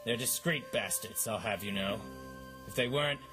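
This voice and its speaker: An actor playing a pompous, self-important, dim detective, melodramatic in a 1950s-style radio drama. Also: male voice, lowish voice, moderate pace, over music, natural voice. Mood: indignant.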